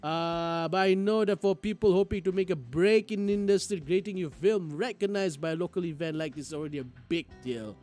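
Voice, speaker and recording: A man's voice: sits high, a little fast, hardly any pauses, darkish tone, soft background music. A man streaming a game, reading its narration aloud expressively with occasional stumbles and side comments.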